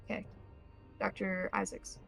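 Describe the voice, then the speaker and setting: A woman's voice: medium pitch, level tone, a little slow, creaky, fairly bright tone, faint music behind. A woman acting as game master, explaining and describing scenes in detail for a tabletop role-playing game.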